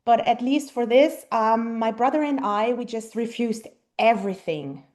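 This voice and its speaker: A German-born woman in her thirties, a native German speaker, answering interview questions thoughtfully with frequent pauses and ums. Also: a little high, darkish tone. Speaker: guest mother. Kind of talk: casual interview conversation.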